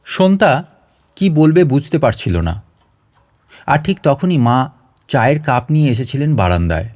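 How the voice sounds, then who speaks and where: A man's voice: mid-range voice, very animated, a little slow, darkish tone, dry recording, clean studio sound. A man reading a story aloud as a dramatic scripted audiobook narration.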